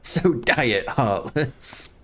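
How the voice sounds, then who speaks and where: A man's voice: mid-range voice, lively intonation, drawn-out words, a bit bright. A man giving casual reaction commentary as a streamer, musing aloud and often trailing off mid-thought. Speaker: streamer reacting to a fan-dubbed game movie.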